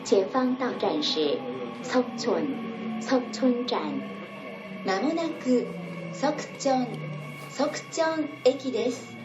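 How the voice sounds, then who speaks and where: Slow, echoey woman station announcer with music. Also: a little high, sing-song, highs cut off. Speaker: train announcer. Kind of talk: scripted multilingual station announcement. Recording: echoey public address playback.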